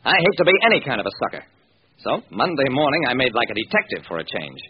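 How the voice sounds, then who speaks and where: An actor playing a hard-boiled, wry private detective in an old-time radio drama, with sardonic, self-mocking, clipped tough talk. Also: male voice, medium pitch, very animated, fast, close to an everyday voice. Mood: wry musing. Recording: muffled, hissy vintage radio recording.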